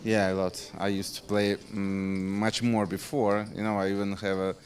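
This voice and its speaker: A man with a fairly low voice and a Russian accent answers questions in halting second-language English. He often stops mid-sentence to search for the next word. He is speaking on a casino floor as its poker director. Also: little pitch movement. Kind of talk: interview answers.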